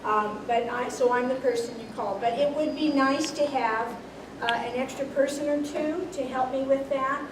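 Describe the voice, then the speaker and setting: A woman in her sixties, a retired teacher, speaking loudly and slowly as a committee head at a club meeting. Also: high-pitched, steady tone. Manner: slow, loud-voiced, speaks without a microphone. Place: meeting hall. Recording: echoey hall, voice from far off, background noise.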